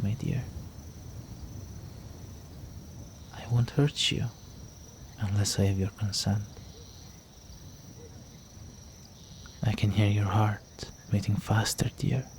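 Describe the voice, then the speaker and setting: A man plays an ancient, flirtatious vampire noble in an intimate fantasy audio roleplay. His low voice moves slowly and stays very even, courting the listener with formal, teasing seduction and quiet menace. Music plays behind him. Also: slight background noise. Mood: teasing, predatory.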